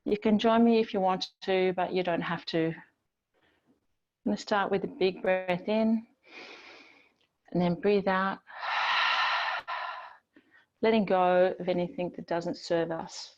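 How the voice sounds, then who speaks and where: A woman teaching yoga, guiding relaxation in a gentle, soothing, unhurried manner with long pauses between phrases. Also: on the low side, fairly even intonation, dull audio. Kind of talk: guided yoga and relaxation instruction.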